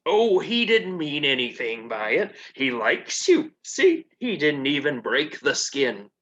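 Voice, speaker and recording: A comedian voicing a lovable old dog owner in a comedy radio play, with a folksy, drawling manner. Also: male voice, fairly high pitch, sing-song, takes it slowly, a bit bright, lo-fi sound, full character voice.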